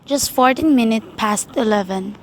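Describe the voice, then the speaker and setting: A woman acting as a polite, officious hospital receptionist in a radio play, measured and formal. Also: on the high side, noisy background, lightly acted. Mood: formal, composed.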